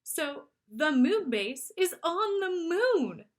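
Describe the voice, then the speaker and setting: A woman's voice: very high voice, big pitch swings, slow, voice pushed up, exaggerated voice. A woman voicing a cautious, bookish young boy in a storybook, earnest and emphatic. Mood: awed.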